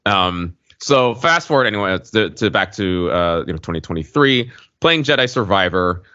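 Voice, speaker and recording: A man with a very deep voice talks casually as a podcast co-host. He explains in a wordy, hesitant way, wandering into asides. The sound is slightly dull. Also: animated, normal speed, sharp tone, smooth. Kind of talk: casual podcast chat, explaining video game slang. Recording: muffled audio in an echoey room.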